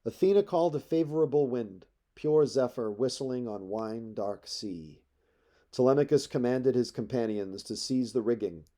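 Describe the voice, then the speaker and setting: A man reading epic poetry aloud as a narrator, in measured, dramatic storytelling phrases. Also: mid-range voice, expressive, dark tone. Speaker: audiobook narrator.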